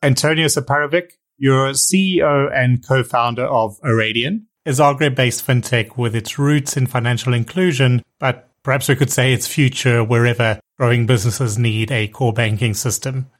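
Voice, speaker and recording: A man with a South African accent hosting a podcast, reading a measured, explanatory intro and then asking interview questions. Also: mid-range voice, fairly even intonation. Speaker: podcast host.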